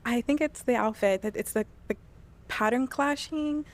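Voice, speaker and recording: A woman in her twenties speaking hesitantly, with halting restarts. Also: on the high side. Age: 20s. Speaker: dating show contestant.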